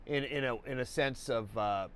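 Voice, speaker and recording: A man hosting a live painting webcast, congested and stuffy, talking in a slow, rambling way with many restarts and fillers. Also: medium pitch, fairly bright tone, slight background noise. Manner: halting, many restarts and fillers, laid-back. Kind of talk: rambling live-stream chat.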